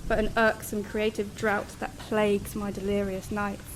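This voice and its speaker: A woman's voice: mid-range voice, monotone, normal speed, noisy background, close to an everyday voice. An actress playing an anguished, brooding young woman writer in a radio drama, speaking in solemn, formal literary monologue. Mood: frustrated, bitter. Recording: faint music under the voice.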